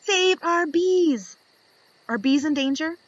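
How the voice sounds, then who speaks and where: A woman reading a picture book aloud to young children, expressive and instructive, putting questions to her listeners and answering them. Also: high-pitched, takes it slowly, dry recording, muffled recording.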